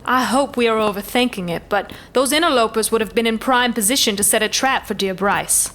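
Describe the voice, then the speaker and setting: A woman's voice: on the high side, brisk, a bit bright, close to an everyday voice. A woman playing a noble elven commander in a fantasy audio drama, speaking in formal, stately oratory. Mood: calculating, composed.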